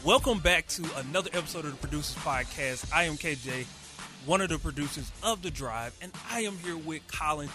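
A man co-hosting a casual sports podcast, talking with animated energy and frequent restarts. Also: on the high side, fairly quick, over music. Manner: animated, hype-filled delivery with many restarts. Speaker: podcast co-host, radio producer.

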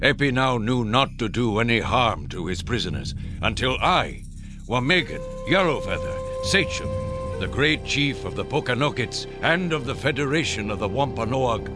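Radio drama: male actor as stately great chief and storyteller, very animated, music underneath. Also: medium pitch, moderate pace, close to an everyday voice. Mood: grand narrating. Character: grand, commanding Native great chief, storytelling narrator. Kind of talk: radio drama narration and acting.

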